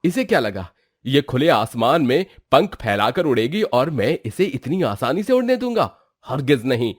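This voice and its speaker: A man's voice: mid-range voice, very animated, normal speed, dry recording, studio quality. A man playing a jealous, stern, scheming villager in a Hindi moral story. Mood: spiteful, menacing.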